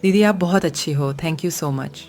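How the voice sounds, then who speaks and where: A woman voicing a sweet, dutiful young village daughter, caring and soft-hearted, in an animated moral story. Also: deep voice, a little slow, soft background music. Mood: grateful.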